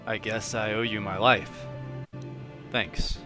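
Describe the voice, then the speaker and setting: A man's voice: lowish voice, fairly even intonation, slow, music in the background. A man voicing a curious young soldier recruit, the hero of a video game, in a plain-spoken, questioning way. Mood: grateful. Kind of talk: amateur video game protagonist dub.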